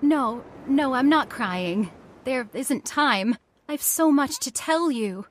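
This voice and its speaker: An actress playing an earnest, emotional young heiress with frightened idealism in a radio horror drama. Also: female voice, sits high, expressive, slow pace, exaggerated voice. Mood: earnest, resolute.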